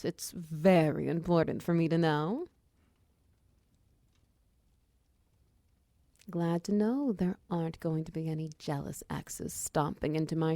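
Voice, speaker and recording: A hulking female minotaur wizard, played by a woman in an intimate audio roleplay. Her voice is mellow and gravelly with fry, recorded dry and close. She is commanding and teasing, slipping into breathy whispers. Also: low voice, no room sound. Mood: businesslike, coaxing. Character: hulking muscular female minotaur wizard, bossy, teasing potion-shop owner. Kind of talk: roleplay ASMR audio drama.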